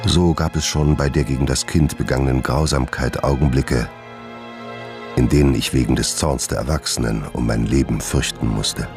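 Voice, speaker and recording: An actor playing a tormented gentleman doctor in a radio drama, giving a grave, formal, literary confession. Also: very deep voice, steady tone, moderate pace. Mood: grave, haunted. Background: music.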